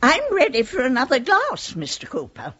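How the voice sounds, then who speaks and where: A woman's voice: high-pitched, wildly swooping pitch, normal speed, pushed and bright, slightly rough, exaggerated voice. An actress playing a genteel, girlish, effusive woman of eighty-five with a British accent in a radio play. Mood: giddy, happy. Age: 80s. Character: frail, genteel, giddy eighty-five-year-old widowed lady. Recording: dull lo-fi radio recording.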